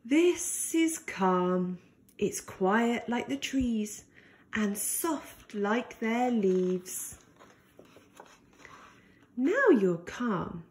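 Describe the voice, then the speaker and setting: A woman reading a picture book aloud to young children in a gentle, deliberate and expressive way. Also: a little high.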